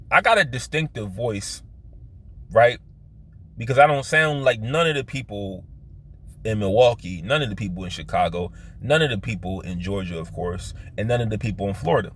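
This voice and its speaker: Male, Philadelphia accent, lowish voice. Casual, rambling personal storytelling with halting, repetitive phrasing. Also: expressive, fairly bright tone. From Philadelphia, United States. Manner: halting, circles back and repeats himself. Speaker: vlogger telling his life story.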